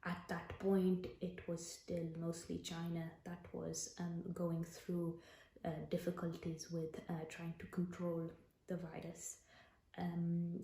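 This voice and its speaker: Brief: woman, general Indian accent, soft half-whispered ASMR delivery, low warm voice, roomy echo. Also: completely flat delivery, slow, creaky. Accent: general Indian, put on for the video. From Britain. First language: Punjabi. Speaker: actor and ASMR creator. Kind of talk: soft-spoken ASMR talk.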